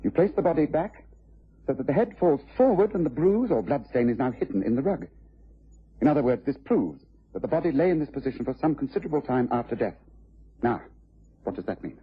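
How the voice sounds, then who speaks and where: An actor playing a shrewd, commanding English detective in a vintage radio drama, speaking precisely and with courteous authority. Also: male voice, mid-range voice, lively intonation, fairly quick, husky. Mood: confident, explanatory. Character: shrewd, commanding gentleman detective, methodical and confident. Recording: muffled old radio drama recording.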